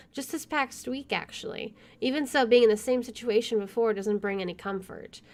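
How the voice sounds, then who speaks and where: A woman hosting a gaming video, reading visual novel narration aloud with casual asides. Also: mid-range voice.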